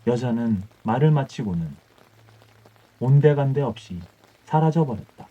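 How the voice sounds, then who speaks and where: A man's voice: mid-range voice, lively intonation, measured pace, warm tone. A man reading an old folktale as scripted bedtime audiobook narration. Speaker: audiobook storyteller. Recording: dull muffled audio with music.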